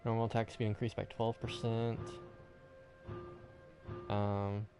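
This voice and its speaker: A man talks slowly in a fairly low voice over background music. He rambles and thinks aloud, like a casual game streamer commenting as he plays. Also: little pitch movement.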